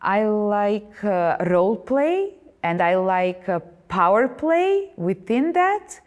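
Serbian-accented woman artist, animated. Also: mid-range voice, slow. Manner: animated, gesturing, with many fillers and restarts. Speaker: visual artist. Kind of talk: interview monologue. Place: boxing gym, indoors.

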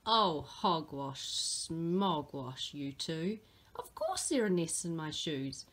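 A woman's voice: deep voice, sing-song, slow pace, a bit bright, pitched below the performer's own voice, exaggerated voice. A woman voicing a small, earnest, imaginative girl who tells tall tales in a dramatic storybook manner.

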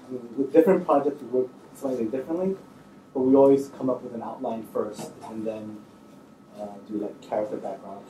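Casual male panel guest, very mellow tone, feeling his way through answers, picked up from afar in a roomy space. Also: on the low side. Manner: informal, hesitant, thinking aloud. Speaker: screenwriter on a panel. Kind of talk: casual spoken answers at a public talk. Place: indoor stage in an auditorium, seated. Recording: roomy, distant miking, faint noise.

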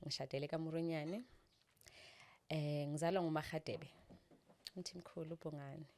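A South African woman from the Eastern Cape, a guest telling her story in casual conversation, laughing often and pausing with fillers. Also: low voice, fairly even intonation, darkish tone.

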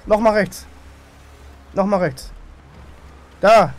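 A man with a notably high, light voice talks over a headset in a game roleplay session. He fires off short urgent directions and laughs easily, in a casual, bantering tone. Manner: barks quick driving directions, laughs often. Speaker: streamer playing a criminal character.

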